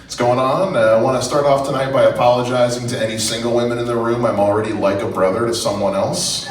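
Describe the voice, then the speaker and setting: A man's voice: medium pitch, steady tone, fast talker. A man of thirty doing a self-deprecating stand-up set on a comedy club stage, rambling with fillers and restarts. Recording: roomy, distant, faint noise.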